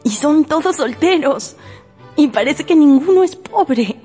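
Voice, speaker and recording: Casting: frivolous gossiping young sister, fairly high, mellow tone, radio romance over music. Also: female voice, lively intonation, unhurried. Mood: gossipy. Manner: gossipy, chatty. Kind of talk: Spanish-language radio drama acting.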